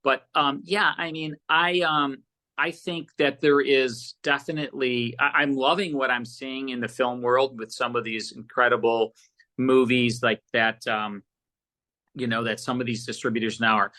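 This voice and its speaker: A man's voice: mid-range voice, little pitch movement, moderate pace, sharp tone. An American man chatting casually as an interview guest, enthusiastic and often repeating his words as he goes. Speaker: podcast guest, audio producer.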